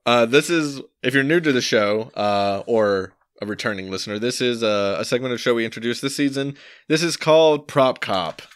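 A man hosting a film podcast in casual chat, laid-back and quick to laugh, steering the talk into each segment. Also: medium pitch, smooth. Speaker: podcast host.

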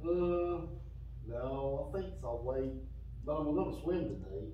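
A man's voice: on the high side, lively intonation, slow, slight background noise. Man doing a goofy surfer-dude pig, distant and echoey. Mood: mellow, naive. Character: goofy surfer-dude young male pig, naive and eager. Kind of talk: children's church puppet show. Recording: distant, echoey, dull room recording.

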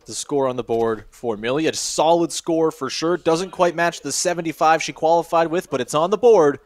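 A man calls a freestyle ski event live, his voice sitting a bit high for a man. He talks in a steady, informative way, reading out scores and naming the tricks as they land. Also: moderate pace. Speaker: play-by-play commentator. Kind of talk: live sports commentary.

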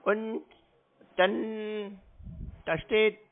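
A person talking slowly and naively on a phone call, sounding confused, literal and repetitive. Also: medium pitch, flat delivery. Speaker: prank callee stringing along a scam caller. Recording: muffled, band-limited phone call.

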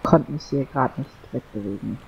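A man's voice: mid-range voice, moderate pace, gravelly, lo-fi sound, a little hiss or hum. A man roleplaying a farm worker over voice chat, hesitant and fumbling for words.

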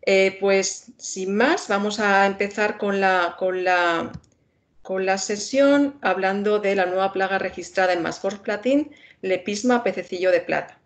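A woman's voice: mid-range voice, measured pace. A woman with a Spain Spanish accent moderating an online webinar in a friendly manner, with many filler sounds. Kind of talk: webinar introduction.

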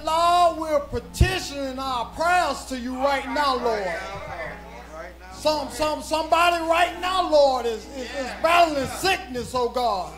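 A man with a very high voice leads a congregation in prayer, his words slow and drawn out. He is impassioned and often shouts, punctuating phrase after phrase with calls to the Lord. Music plays clearly behind him in the church. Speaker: prayer leader. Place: church service. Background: music playing.

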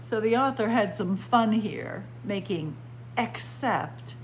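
A woman's voice: medium pitch, lively intonation, takes it slowly, a little hiss or hum, rough recording. A middle-aged woman librarian reading a picture book aloud to children, word by word, with teacherly emphasis, recorded close on a webcam in a small library room. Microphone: webcam or phone close up at arm's length.

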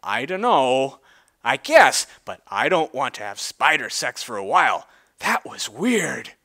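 A man plays a naive young female spider in a storybook tale. She sounds bright and bewildered, hesitating as her pitch swings about in a lively way. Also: a little slow, exaggerated voice. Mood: uneasy.

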